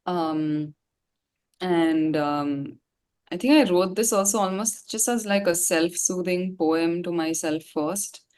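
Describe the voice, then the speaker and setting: A woman with a fairly low voice and an Indian English accent. She talks candidly in an interview, in an informal, slangy way. Her delivery is expressive and reflective. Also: slow pace, clear, resonant tone. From Gangtok, India. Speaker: singer-songwriter being interviewed. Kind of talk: casual, candid interview talk.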